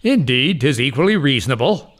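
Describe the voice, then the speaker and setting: A man's voice: mid-range voice, very animated, fairly quick, fairly bright tone. A man playing a pompous, suspicious, half-mad doctor and guardian in a period comic audio drama. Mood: wry, suspicious. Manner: pompous, blustering, archaic.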